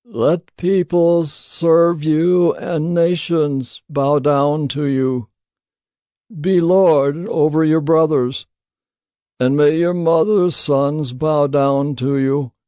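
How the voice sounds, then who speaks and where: A man's voice: on the high side, steady tone, takes it slowly, mellow, clean tone, no room sound, voice pushed up. A man voicing a frail, solemn old father in a dramatised scripture reading, speaking in a declamatory way. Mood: solemn blessing. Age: older.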